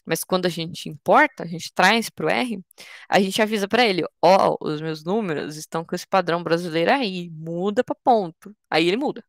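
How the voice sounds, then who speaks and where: A woman's voice: on the low side, moderate pace, no echo, clean studio sound. A woman in her twenties from Brazil, teaching an online coding workshop in a patient, informal way, explaining step by step with frequent restarts. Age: 20s. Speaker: workshop instructor.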